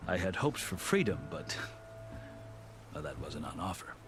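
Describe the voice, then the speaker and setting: Proud veteran warrior-lawgiver, deep voice, measured formal storytelling for a game cutscene, music underneath. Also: male voice, very animated. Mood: proud, wry. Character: seasoned, proud male warrior turned lawgiver, earnest storyteller. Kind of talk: scripted video game cutscene dialogue. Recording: music behind the voice.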